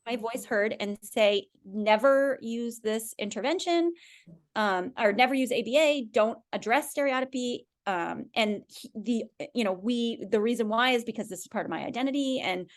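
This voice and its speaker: A woman's voice: on the high side, normal speed. A woman speaking as an expert podcast guest, explaining in a conversational way and often checking in with the listener.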